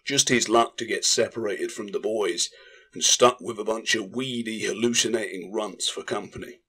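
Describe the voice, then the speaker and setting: A man's voice: fairly low pitch, steady tone, normal speed, sharp tone, breathy edge. A man narrating a fantasy audiobook in a dramatic, scripted storytelling style.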